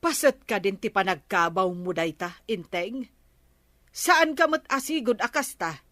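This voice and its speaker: An exasperated Filipino wife in a radio comedy, nagging and sighing. Her voice is bright and piercing, recorded dry and close. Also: mid-range voice, animated, unhurried, no echo. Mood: scolding. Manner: scolding, sighing. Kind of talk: Ilocano radio comedy drama acting.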